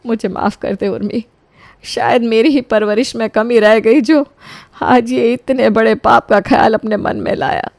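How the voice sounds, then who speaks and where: A woman's voice: fairly high pitch, steady tone, unhurried, vocal fry, darkish tone, dry recording, clean studio sound. A woman playing a wronged elderly mother-in-law in a dramatic audio story, speaking solemnly and with emotional emphasis. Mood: resolute, regretful.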